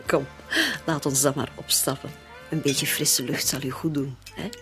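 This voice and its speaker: A woman's voice: rumbling low, fairly even intonation, a little slow, over music. An actress playing a cunning, composed female decoy in a radio play, talking coaxingly. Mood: coaxing, sociable. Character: friendly, cunning hired female decoy, reluctant accomplice. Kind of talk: radio drama acting.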